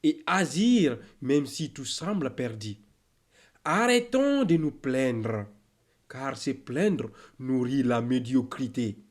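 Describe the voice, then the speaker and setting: A man reciting a motivational poem in a declamatory, exhortative way, in short measured phrases with deliberate pauses. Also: mid-range voice, expressive.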